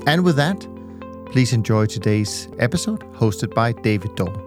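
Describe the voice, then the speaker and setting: A man's voice: mid-range voice, lively intonation. A man giving a formal scripted introduction to a podcast series. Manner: measured, formal delivery. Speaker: series narrator. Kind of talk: scripted narration. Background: guitar music.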